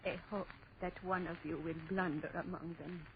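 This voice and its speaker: An actress playing a mysterious old woman, speaking slowly and gravely in a 1940s radio horror drama. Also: lowish voice, fairly even intonation, lightly acted. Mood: ominous, weary. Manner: slow, foreboding, riddling. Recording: noisy dull old radio recording.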